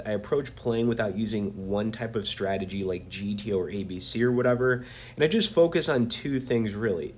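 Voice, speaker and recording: A man with a cold, talking loosely and conversationally as a poker video host reviewing his hands, with lots of fillers and chummy asides to viewers. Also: on the low side. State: has a cold. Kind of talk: casual hand-review commentary.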